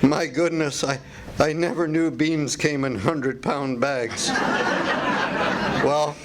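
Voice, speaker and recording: A man's voice: on the high side, steady tone, takes it slowly. A man playing a meek, henpecked husband in live old-time radio comedy, hesitant, prim and politely timid. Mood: wistful, rueful. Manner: hesitant, prim, repeats himself. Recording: noisy live stage recording.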